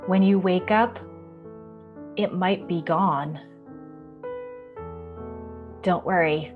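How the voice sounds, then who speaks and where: Low-pitched woman reading gently for storytime. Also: drawn-out words, narrow, tinny audio, over music. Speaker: children's library storytime host. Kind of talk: gentle picture-book reading and explaining to children.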